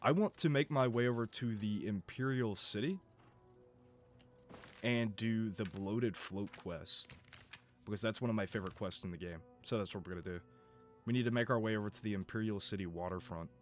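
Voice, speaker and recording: Live gameplay streamer, male, lowish pitch, rambling and profane, voice fraying; faint music under him. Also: moderate pace. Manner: rambling, chatty, swears and laughs. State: losing his voice.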